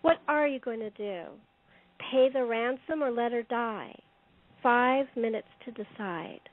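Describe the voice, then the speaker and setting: An actress plays a cool, taunting female robber in an audio drama. Her voice is high and she takes her time, needling and daring. The sound is dull and lo-fi. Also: a little slow, lightly acted. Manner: mocking, challenging. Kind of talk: radio-play style acting. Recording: dull, muffled lo-fi line.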